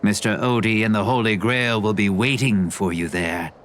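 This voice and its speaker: A man playing a resigned, dryly gracious film director in a video game, with a measured and dramatic delivery. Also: fairly low pitch, a bit bright, a little hiss or hum, close to an everyday voice. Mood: theatrical, gracious. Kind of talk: dubbed anime game dialogue.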